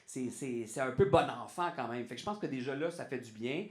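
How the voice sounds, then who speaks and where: A man with a Québécois French accent, a guest on a podcast, talking in an animated way and restarting his sentences often. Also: medium pitch, a little fast, roomy sound. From Quebec. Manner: animated, restarts often, says "tu sais" a lot. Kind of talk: casual podcast interview.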